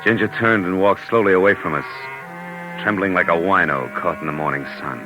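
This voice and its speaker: Hard-boiled American private eye , played by a male actor: low, wry, slangy, vintage radio drama sound. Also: fairly quick, lo-fi sound, close to an everyday voice. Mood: grim, wry. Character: tough, wry private detective, adult man. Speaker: lead actor and first-person narrator. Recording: old 1940s radio recording with music.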